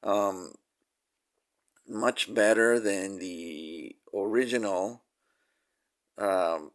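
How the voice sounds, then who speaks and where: Casual reviewer, male, slightly low voice, stuffy from a cold, lots of "uh" and restarts. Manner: halting, many fillers and restarts. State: nasal from a cold. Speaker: toy reviewer.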